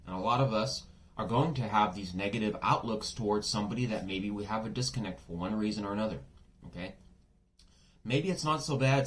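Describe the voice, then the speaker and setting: A man giving a casual tarot reading, chatty and blunt, swearing freely and full of asides, his voice a bit worn and hoarse as if under the weather. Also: fairly low pitch, slight background noise. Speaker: tarot reader.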